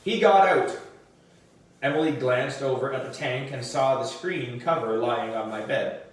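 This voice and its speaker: A man reading a children's story aloud in an animated way, with dramatic emphasis. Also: mid-range voice, normal speed. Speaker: audiobook reader for a book club. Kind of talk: expressive children's storybook narration. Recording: roomy echo, distant microphone.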